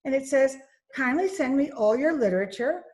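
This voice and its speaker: A woman's voice: high-pitched, expressive, normal speed, a little mellow. A woman playing a formal, insistent businesswoman and mother, speaking precisely in an old-time radio comedy.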